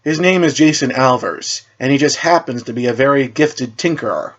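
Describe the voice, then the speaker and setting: A man voicing an assured, cryptic leader of a superhero team, speaking in a measured, formal way. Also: mid-range voice, fairly bright tone, highs cut off, lightly acted.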